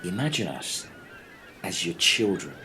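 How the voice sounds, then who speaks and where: An exasperated castaway played by a man in a radio comedy, swearing as he argues. The voice is deep and extremely animated, over faint background noise. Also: unhurried, full character voice, poor audio. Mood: hesitant. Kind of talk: comic radio play acting.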